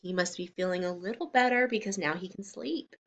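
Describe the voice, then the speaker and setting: A woman's voice: lowish voice, brisk, a bit bright. A woman reading a children's story aloud like a teacher, speaking expressively and explaining things simply to young listeners.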